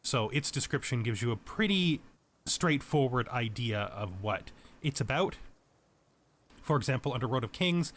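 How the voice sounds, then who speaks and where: A man's voice: medium pitch, animated, moderate pace, fairly bright tone. A man giving explanatory let's play commentary as a tutorial host, methodical and thorough in how he explains things. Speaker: game tutorial host, player.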